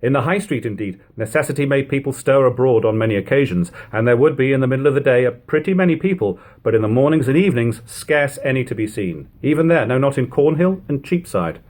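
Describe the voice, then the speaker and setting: A man reads classic prose aloud in the style of an audiobook. His mid-pitched voice moves widely up and down. The phrasing is measured and emphatic. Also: animated, darkish tone. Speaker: reader of a classic book. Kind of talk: audiobook-style literary narration.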